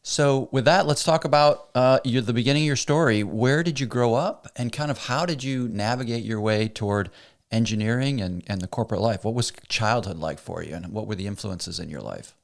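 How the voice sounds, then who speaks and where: A man's voice: mid-range voice, a little fast, gravelly. A man hosting a podcast interview, encouraging his guest and asking long, rambling questions. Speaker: podcast host. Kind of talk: interview host.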